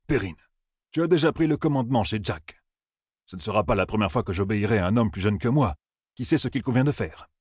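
A man voicing a calm older farmer and father who speaks in a measured way. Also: fairly low pitch, slightly rough, no room sound, clean studio sound, pitched above the performer's own voice.

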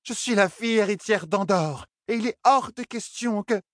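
A man's voice: moderate pace, no room sound, clean studio sound, lightly acted. A man voicing a tipsy young royal heiress who slurs, sulks and flares up. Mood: indignant. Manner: high, slurred pleading and indignant outbursts. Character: drunk young royal heiress, petulant and tearful.

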